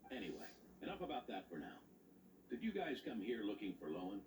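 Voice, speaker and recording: An actor playing a seasoned, genial knight in a video game, speaking in formal, dutiful phrases. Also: male voice, fairly low pitch, big pitch swings, brisk, darkish tone. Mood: reflective. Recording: roomy distant game audio with noise.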